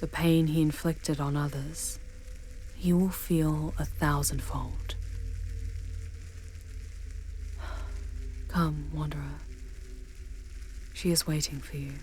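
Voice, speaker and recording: Brief: female actor as a deep, unhurried demon guide, haughty and sardonic yet protective, for ASMR roleplay over noisy ambience. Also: little pitch movement, a little mellow. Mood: solemn, soothing. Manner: commanding, haughty, deliberate, slipping into whispers. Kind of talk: ASMR audio roleplay drama.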